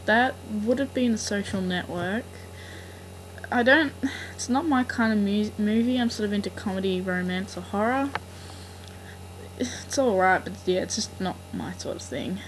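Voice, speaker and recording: A woman's voice: mid-range voice, moderate pace. A woman from Australia with an Australian accent, answering fan questions in a casual, rambling way with frequent ums. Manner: rambling, hesitant, many ums. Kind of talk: casual voice meme monologue. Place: home room. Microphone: built-in laptop mic. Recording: noisy home laptop recording.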